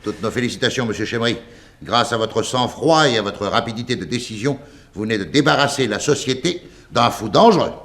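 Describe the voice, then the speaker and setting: An actor playing a pompous senior police official in a vintage French radio drama, declaiming with authority. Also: male voice, medium pitch, expressive, a little fast, a bit bright. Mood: grandly authoritative.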